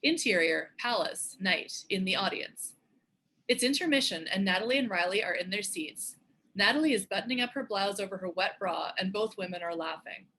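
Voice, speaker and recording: A woman reads stage directions as the narrator of a script. Her voice is bright and forceful at a middling pitch, in a scripted narration style. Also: expressive, moderate pace, slight background noise, poor audio.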